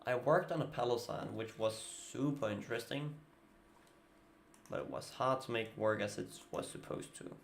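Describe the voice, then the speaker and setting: Gravelly Danish-accented man narrating card-game moves. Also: medium pitch, moderate pace, slight background noise. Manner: thinking aloud while playing, casual, muttering asides. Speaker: streamer. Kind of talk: live gameplay commentary.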